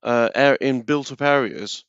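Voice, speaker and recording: A man's voice: mid-range voice, a little slow, fairly bright tone, clean tone, no room sound. A man talking casually as a guest on a live radio talk show. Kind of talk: casual chat. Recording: dull, dry, band-limited call audio.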